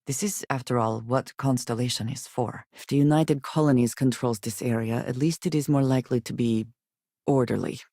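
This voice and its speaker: An actress playing a guarded, devout and loyal woman spacefarer in a video game, with measured, formal, precise phrasing. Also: very deep voice, mellow, no echo, studio quality. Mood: wry, composed. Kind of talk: scripted game character dialogue.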